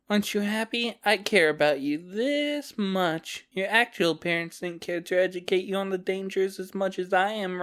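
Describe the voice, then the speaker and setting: A man's voice: high-pitched, fairly bright tone, clean tone. A young man voicing a taunting, possessive, sadistic femboy kidnapper in an ASMR roleplay, with a feminine-sounding voice and mocking, condescending baby-talk. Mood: condescending, gloating. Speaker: voice actor. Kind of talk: ASMR roleplay character acting.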